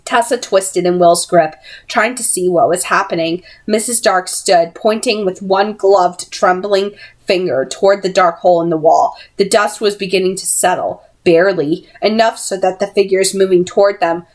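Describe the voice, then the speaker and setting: Female amateur narrator for fantasy fiction, mid-range pitch, expressive read-aloud storytelling. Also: normal speed. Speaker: amateur audiobook reader.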